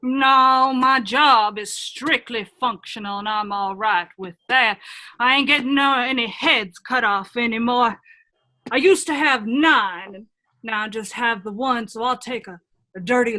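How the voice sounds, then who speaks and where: A woman playing a gruff, crude troll jailer with a folksy, slangy drawl in an irreverent radio play. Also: fairly high pitch, measured pace, pushed and bright. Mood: grumbling, matter-of-fact.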